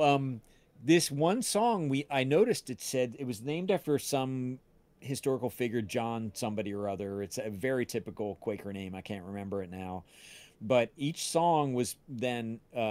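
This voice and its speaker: A man chatting casually on a livestream, telling anecdotes in a halting, searching way with false starts. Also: medium pitch, expressive, a little mellow, dry recording. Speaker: podcast cast member on a livestream. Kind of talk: casual chat, rambling anecdote.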